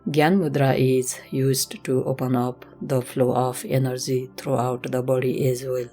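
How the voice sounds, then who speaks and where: A woman leads a guided meditation in a very deep voice with an even, nearly flat melody. She gives gentle, measured instructions as a yoga teacher would, with faint music behind her.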